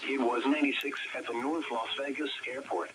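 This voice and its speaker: Heavy noise over a radio broadcast: American male announcer, mid-pitched, formal scripted weather reading. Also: fairly even intonation, a little fast. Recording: very noisy weather radio playback.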